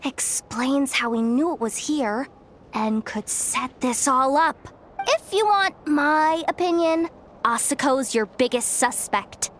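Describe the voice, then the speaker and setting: Young female game adventurer, fairly high voice, slow pace, wry, casual, cocky. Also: slight background noise. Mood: confident suspicion. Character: cocky young woman adventurer, shrewd but reluctant helper. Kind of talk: video game voice acting.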